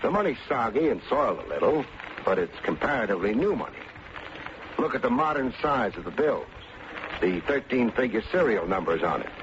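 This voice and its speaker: An actor playing a wry, wisecracking American private detective and narrator in an old-time radio drama. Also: male voice, mid-range voice, big pitch swings, fairly quick, lightly acted. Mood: matter-of-fact, pressing. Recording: muffled, noisy old radio recording.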